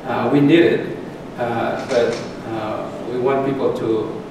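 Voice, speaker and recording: A middle-aged man, a lecturer taking audience questions in measured speech with pauses and restarts. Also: medium pitch, steady tone. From Solomon Islands. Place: large lecture theatre. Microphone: distant room mic. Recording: echoey hall from far off, background noise.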